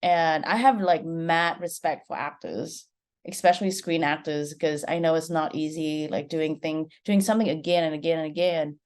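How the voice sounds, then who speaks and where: A woman's voice: low-pitched, steady tone, normal speed, a little mellow. A woman in her twenties from Vietnam, speaking with a Vietnamese accent, chatting casually as a guest director in an interview and sprinkling her talk with "like". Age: 20s. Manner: chatty, leans heavily on "like".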